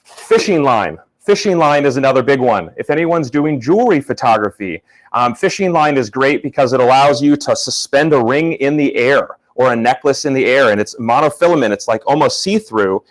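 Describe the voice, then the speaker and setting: Young man, loud and casual, walks viewers through product shots live; mid-pitched voice. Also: lively intonation, moderate pace, low-quality recording. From Florida. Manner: casual, upbeat, talks to chat. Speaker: photography instructor. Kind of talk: live workshop demonstration.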